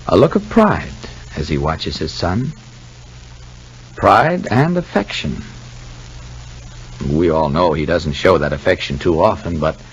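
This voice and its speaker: A man narrating and announcing in 1950s radio soap style, with deliberate, dramatic, emphatic phrasing. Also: low voice, lo-fi sound, slight background noise.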